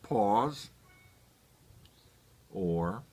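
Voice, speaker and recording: A man in his seventies from Hawaii reminiscing about family in an unscripted interview, halting and deliberate with long pauses. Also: fairly low pitch, lively intonation, darkish tone, husky. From Hawaii, United States. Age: 70s. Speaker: interviewee, grandfather.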